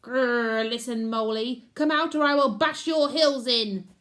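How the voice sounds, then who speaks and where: A woman's voice: medium pitch, expressive. A woman voicing a boastful, greedy male wolf uncle in a storybook, growling and drawn out. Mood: blustering. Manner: loud growling bluster, slow and drawn out.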